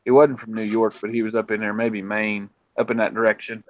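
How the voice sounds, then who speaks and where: A man's voice: lowish voice, level tone, brisk, no room sound, highs cut off. A man with a Southern accent hosting a casual late-night chat, telling rambling folksy stories with crude asides. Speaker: host.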